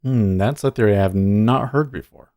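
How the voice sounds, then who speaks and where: A man's voice: fairly low pitch, moderate pace, a little mellow, no echo, studio quality. A man hosting a day trading livestream, chatting casually and thinking aloud, often muttering to himself between points.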